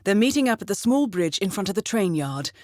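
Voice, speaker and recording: An actress playing a composed, formal and dryly witty intelligence handler who briefs an assassin in a video game. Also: female voice, medium pitch, big pitch swings, a little fast, darkish tone, no room sound, clean studio sound, lightly acted. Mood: composed, businesslike. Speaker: mission handler.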